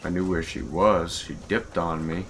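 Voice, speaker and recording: A man's voice: deep voice, fairly even intonation, moderate pace, slight background noise. A man giving casual, informal gameplay commentary, muttering to himself as he plays and tests things. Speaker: streamer and mod creator. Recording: game music behind the voice. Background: video game music.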